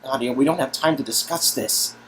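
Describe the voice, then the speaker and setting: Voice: mid-range voice, a little fast, pitched above the performer's own voice, natural voice, low-quality recording. A game story reader voicing a devoted, urgent man protecting the one he loves. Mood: urgent, tender.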